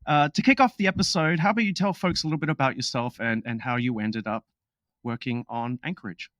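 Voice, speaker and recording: A man hosts a podcast in an upbeat, joking tone. His voice sits in a middle pitch. The sound is dry and close, with no room echo. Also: a bit bright. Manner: upbeat, jokey, quick asides. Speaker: podcast host. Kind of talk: casual podcast chat with read disclaimer.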